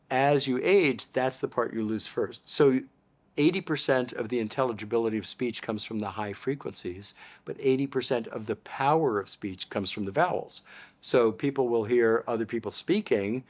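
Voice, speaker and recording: An older man with an American accent, an audiologist patiently explaining things in an interview. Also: mid-range voice. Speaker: audiologist guest.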